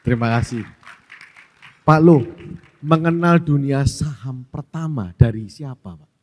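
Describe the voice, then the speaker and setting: A man's voice: mid-range voice, unhurried, a little mellow. A man interviewing a guest on stage, asking polite questions and addressing him as "Pak". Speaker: event interviewer. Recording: echoey event hall.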